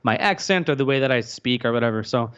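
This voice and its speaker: A man's voice: mid-range voice, lively intonation, quick pace, fairly bright tone, clear, resonant tone. A man as a guest on a casual livestream, rambling and joking crudely. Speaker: podcast guest. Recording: dull lo-fi audio.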